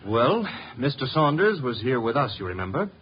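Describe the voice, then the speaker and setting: An actor playing a breezy, wisecracking detective's assistant with a wry, casual manner, in 1950s radio drama style. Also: male voice, mid-range voice, very animated, moderate pace, natural voice. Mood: brisk. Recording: muffled, noisy 1950s radio recording.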